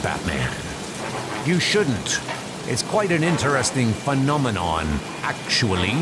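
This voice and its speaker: A man acting a pompous, scheming villain bent on revenge in a comic dub, theatrical in his delivery. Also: mid-range voice. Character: Colonel Blimp, bitter scheming male villain seeking revenge. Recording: loud background music. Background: music.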